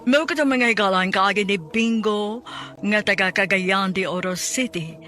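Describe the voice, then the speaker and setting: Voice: medium pitch, unhurried, over music. A radio drama host with a Cebuano Filipino accent, reading a scripted announcement.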